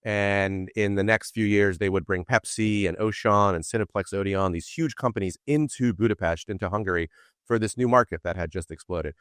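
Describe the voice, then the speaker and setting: A middle-aged man telling stories from his career in a casual interview, animated and acting out quoted voices. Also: on the low side, fairly quick, smooth, no echo, studio quality. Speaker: podcast guest.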